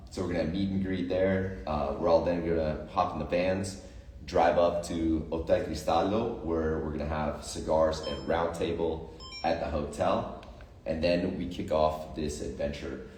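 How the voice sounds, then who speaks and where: A man's voice: rumbling low, a little mellow, roomy sound, far from the mic, slight background noise. A man, a coach and retreat organizer, talking through the plan casually and with enthusiasm, with lots of fillers and motivational lines. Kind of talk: casual promotional chat.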